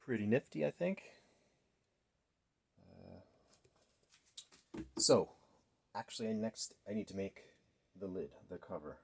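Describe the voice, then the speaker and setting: Deep-voiced man thinking aloud while working. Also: sing-song, takes it slowly, gravelly, pauses often, darkish tone, slightly rough. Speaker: DIY maker, host. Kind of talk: casual workshop build narration. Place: indoor garage workshop. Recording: noisy workshop recording with clicks.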